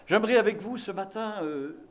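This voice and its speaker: Higher-voiced male preacher from eastern France. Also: moderate pace, a little hiss or hum. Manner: exhorting, repeating key phrases for emphasis. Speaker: visiting evangelical pastor preaching. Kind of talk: sermon.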